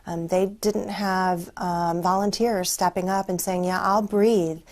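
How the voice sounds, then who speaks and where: Lower-pitched American woman, halting researcher. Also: fairly even intonation, a little mellow. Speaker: sociologist, researcher being interviewed. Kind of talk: interview answers, explanatory.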